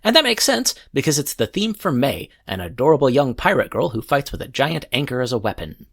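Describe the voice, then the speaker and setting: A man hosting a music podcast, reading scripted commentary in a playful, animated way. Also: medium pitch, brisk, gravelly. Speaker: podcast host. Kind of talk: scripted music show narration.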